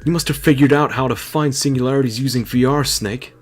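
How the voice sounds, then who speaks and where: A man voicing a menacing, commanding video game villain in a grandiose, deliberate manner. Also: medium pitch, fairly even intonation, faint music behind, pitched above the performer's own voice, natural voice. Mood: taunting.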